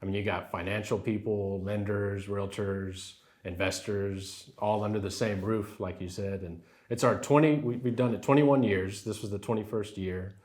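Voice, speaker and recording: A man's voice: on the low side, fairly even intonation, moderate pace, hardly any pauses, a little mellow. A man, answering interview questions in an affable way, often saying "yes sir" and using fillers. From Rio Grande Valley, Texas. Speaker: title company president.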